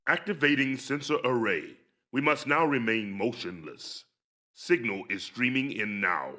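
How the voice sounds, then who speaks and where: A man's voice: medium pitch, a little slow, pushed and bright. An actor playing a focused Klingon crewman, terse and procedural, in a video game cutscene.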